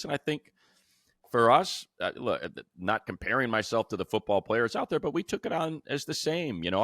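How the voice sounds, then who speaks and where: American male sports co-host, very low voice, close dry sound, slangy and animated storyteller. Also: dry recording. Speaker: sports radio play-by-play announcer and podcast co-host. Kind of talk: casual sports chat.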